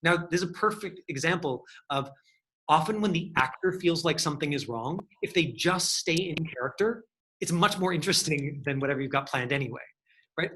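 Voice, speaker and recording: Male acting coach, encouraging and instructive, higher register, crisp bright sound. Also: rough recording. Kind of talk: hands-on acting lesson.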